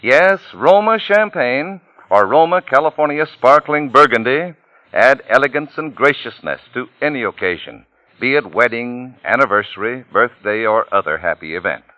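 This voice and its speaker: A man's voice: medium pitch, expressive, normal speed, sharp tone, no room sound, dull audio. A man with an American accent reading a commercial in a polished, formal 1940s radio announcer style, on a vintage broadcast recording. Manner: polished, formal sales delivery. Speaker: radio announcer and sponsor spokesman.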